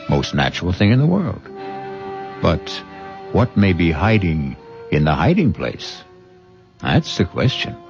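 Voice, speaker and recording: A man acting as an ominous storyteller host, narrating a radio mystery in a deliberate, theatrical way. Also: a bass voice, vocal fry, full character voice. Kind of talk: dramatic scripted radio narration. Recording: muffled old radio broadcast recording.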